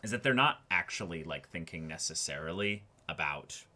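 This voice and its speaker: A man's voice: low voice, expressive, normal speed, sharp tone. A man co-hosting a reaction show in a casual, emphatic way, repeating words to stress his points. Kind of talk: casual chat about an anime episode.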